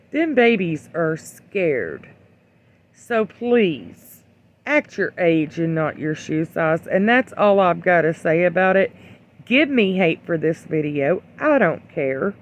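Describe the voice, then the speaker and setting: An opinion vlogger giving casual, rambling commentary in a blunt, admonishing way, with hesitant pauses. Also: medium pitch. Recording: dull lo-fi sound.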